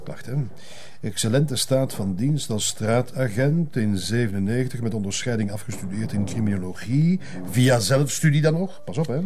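A man's voice: medium pitch, moderate pace, darkish tone, a little hiss or hum, lightly acted. A man playing a harried, evasive police chief in a crime drama, with a Flemish Belgian accent and a hesitant, blustering, placating manner. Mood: officious. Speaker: police commissioner. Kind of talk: naturalistic TV crime drama acting.

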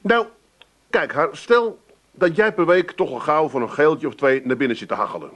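A man's voice: fairly high pitch, moderate pace, fairly bright tone, lightly acted. A man playing a bossy, cash-strapped middle-aged son in a radio comedy, pompous and mock-solemn, with an Amsterdam accent. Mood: insistent, exasperated. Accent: Amsterdam Dutch. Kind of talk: 1980s radio comedy acting.

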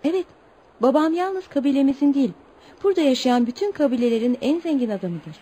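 A woman's voice: high-pitched, expressive, fast, dark tone, slight background noise. An actress playing an earnest, naive and proud young woman telling her story politely in a radio play. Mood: wistful, earnest. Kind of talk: radio theatre acting.

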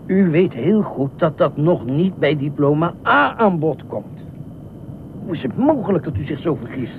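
An actor in a Dutch radio play as an anxious, obsessive widowed ex-fireman who speaks haltingly, repeats himself and nitpicks. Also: big pitch swings. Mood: pedantic, insistent. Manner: halting, repetitive, pedantic, fretful. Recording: muffled narrow-band old radio recording, faint rumble.

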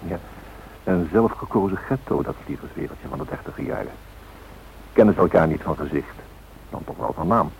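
Deep-voiced solemn radio narrator. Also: male voice. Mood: reflective. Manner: measured, solemn. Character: solemn, reflective male documentary-drama narrator. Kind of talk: scripted narration in a radio play. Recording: tinny hissy old radio recording.

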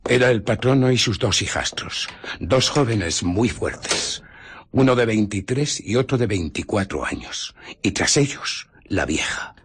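An actor playing an embittered, furtive invisible scientist who recounts his escape in a theatrical, often hushed radio drama style. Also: lowish voice, a little hiss or hum.

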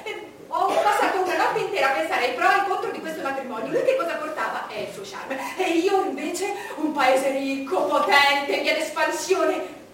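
An actress playing an imperious, vain, solitary English queen in a declamatory stage monologue with dramatic pauses. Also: sits high, fairly bright tone, recorded from a distance. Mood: proud, reflective. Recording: noisy, echoey stage recording from afar.

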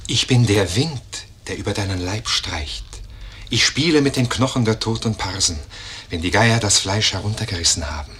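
An actor voicing the wind as a pitiless, macabre spirit in a radio play, ominous in manner. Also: male voice, on the low side, animated, normal speed, a bit bright. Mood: macabre, playful.

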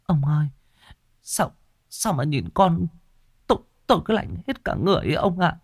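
Guy doing a begging old mom from the north, stammery and squeaky high, close dry mic. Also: big pitch swings, normal speed, fairly bright tone, dry recording. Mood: frightened. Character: frantic pleading older village mother.

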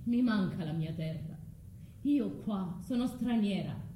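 A woman's voice: medium pitch, lively intonation, unhurried, dark tone, lightly acted. An actress with a southern Italian accent playing a bitter, vengeful abandoned foreign woman in a theatrical stage monologue. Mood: bitter, defiant. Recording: echoey room, faint background noise.

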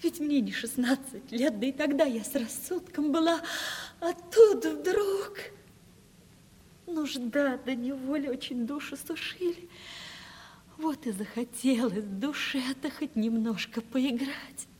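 An actress playing an anguished, resigned young woman in love in a 1970s Soviet radio play, hushed and breathy. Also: high voice, animated, slow. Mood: aching tenderness. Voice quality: breathy, husky. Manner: heartfelt, plaintive, hushed. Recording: old radio play with long reverb.

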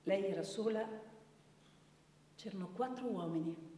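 A woman's voice: mid-range voice, a little slow, mellow, close to an everyday voice. An actress playing an impassioned, indignant woman lawyer in a radio play, speaking in an emphatic, rhetorical style with pointed repetitions. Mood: grave, pleading. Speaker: stage actor. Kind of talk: theatrical courtroom oration and dramatic recitation. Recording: echoey hall, distant microphone.

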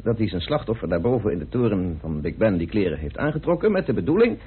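A man's voice: lowish voice, sing-song, fast talker, husky, exaggerated voice. An actor playing a thoughtful, questioning male amateur detective in a radio play. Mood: thoughtful, probing. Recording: muffled old radio recording.

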